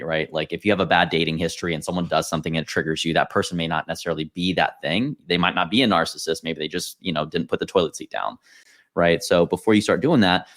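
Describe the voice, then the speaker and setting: Very deep-voiced man hosting a podcast. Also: a bit bright. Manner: measured, explanatory, steering the talk. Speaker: podcast host. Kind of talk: casual podcast discussion.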